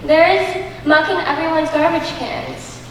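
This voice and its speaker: A woman's voice: high voice, steady tone, unhurried. A young woman student actor playing a melodramatic, cheerfully resigned woman in an absurdist stage comedy. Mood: stoic, rambling. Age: young adult. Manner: theatrical, stagey delivery. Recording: echoey auditorium, distant mic, faint noise.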